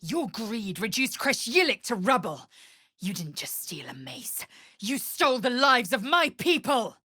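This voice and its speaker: Fierce alien warrior lady for a game, super husky and dry sounding, slow clipped bossy delivery. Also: fairly high pitch, big pitch swings, pushed and bright, no room sound, exaggerated voice. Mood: fierce, angry. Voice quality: husky, raspy. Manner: imperious, clipped, deliberate. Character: proud, fierce female alien warrior, curt and scornful. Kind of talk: video game character voice lines.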